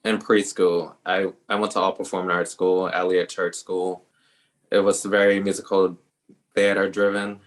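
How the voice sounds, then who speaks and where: A man hosting a podcast, chatting casually with his guest and viewers in an even, low-key way. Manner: self-described introvert, even-toned. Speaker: podcast host. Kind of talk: casual interview chat.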